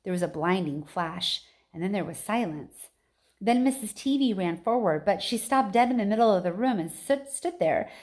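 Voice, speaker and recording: A woman's voice: medium pitch, expressive, moderate pace, darkish tone. A woman reading a children's book aloud like a teacher, expressively, with casual chatty asides.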